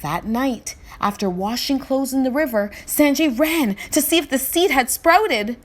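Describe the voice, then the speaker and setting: Very animated woman reading a storybook. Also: sits high, a little slow. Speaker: children's story reader. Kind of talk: expressive scripted storybook narration.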